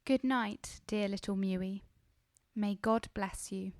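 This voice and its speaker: A man voicing a gentle young woman who looks after two children, speaking softly and pleadingly. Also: sits high, fairly even intonation, slow pace, no echo, clean studio sound, pitched above the performer's own voice. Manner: soft, pleading, tender. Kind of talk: audiobook character voice.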